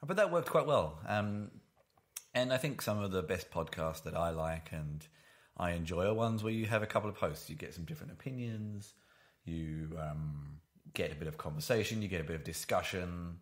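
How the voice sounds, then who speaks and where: A man with a very deep voice hosts a casual podcast from his apartment. He rambles, restarts his sentences and drops in dry asides, all on a single shared microphone. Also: expressive, normal speed. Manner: rambling, self-correcting, dry humour. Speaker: podcast host. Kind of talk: casual chat. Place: home apartment.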